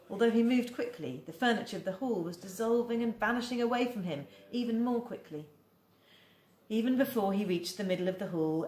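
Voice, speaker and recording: Teacher reading to her class, low-pitched, animated, echoey room. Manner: expressive, acts out the dialogue. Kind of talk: storybook read-aloud.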